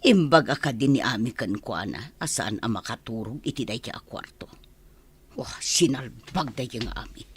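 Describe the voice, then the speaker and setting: A man's voice: mid-range voice, animated, moderate pace, breathy edge. A man voicing a nosy, grumbling female household helper in an amateur audio drama, hesitant and questioning, with muttered asides. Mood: grumbling, scheming.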